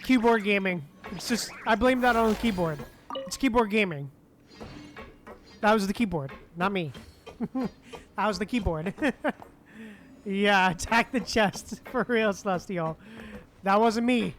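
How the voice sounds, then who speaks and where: Slow-paced, laid-back woman game streamer. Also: mid-range voice. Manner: laid-back, joking, swearing. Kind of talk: casual live gaming commentary. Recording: game music behind the voice. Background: game music.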